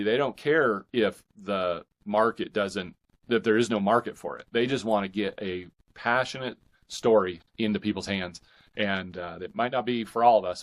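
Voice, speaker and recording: A man speaking as a guest author in a casual interview, earnest and reflective, often halting and repeating words. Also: lowish voice. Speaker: guest, indie fiction author.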